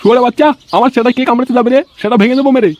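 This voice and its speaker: A man's voice: extremely high pitch, steady tone, racing, lo-fi sound, heavy background noise. A man voicing a bad-tempered, stingy middle-aged village father in a comic cartoon, scolding and threatening with crude curses. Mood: furious.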